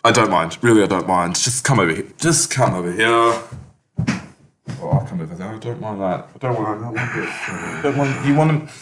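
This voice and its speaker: A man's voice: on the low side, fairly quick, a bit bright, slight background noise, natural voice. A man with a British accent playing a bumbling, polite, evasive character in a comedy sketch, stammering and repeating himself. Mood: obliging.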